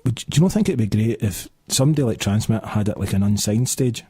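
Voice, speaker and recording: A man with a Scottish accent hosting a radio show, chatting casually and quickly with lots of fillers in a studio. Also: fairly low pitch, animated, mellow, slightly rough, no room sound. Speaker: radio host.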